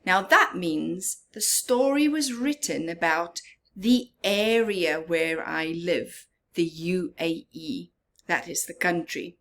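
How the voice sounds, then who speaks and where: A woman hosting a children's story time, reading aloud and pausing to explain things simply and ask young listeners questions. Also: lowish voice, animated, fairly bright tone.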